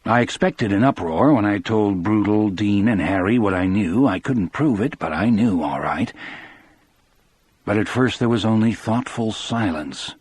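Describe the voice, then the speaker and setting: A man with a husky voice reading scripted first-person audiobook narration. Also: fairly low pitch, expressive, measured pace, darkish tone, no echo.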